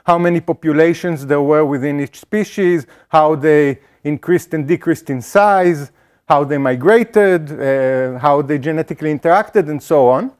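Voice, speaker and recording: A middle-aged man explains a statistics topic to a lecture hall, his voice sitting somewhat high for a man. He talks through ideas step by step, stumbling into fillers and restarts. He is picked up on a small mic worn on the body. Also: moderate pace, darkish tone, clear, resonant tone. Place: lecture auditorium.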